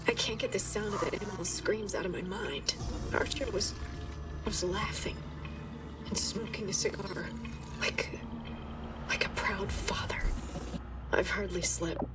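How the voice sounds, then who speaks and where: A woman's voice: mid-range voice, unhurried, long pauses, recorded from a distance, loud music behind the voice, close to an everyday voice. A woman playing a haunted, sleepless scientist.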